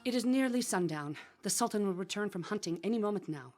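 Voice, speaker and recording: Woman acting a clever young sultan's bride in a radio drama, mid pitch, polite and formal, keeps her composure. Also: animated, quick pace, a little mellow, soft background music, close to an everyday voice. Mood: anxious, resolute. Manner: formal, deferential.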